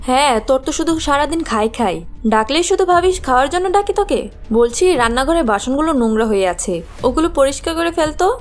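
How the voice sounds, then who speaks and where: A woman voicing a scheming, spiteful young stepmother in a fairy-tale cartoon, sharp-tongued and scornful. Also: sits high, animated, a little fast, faint music behind. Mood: scornful, scolding.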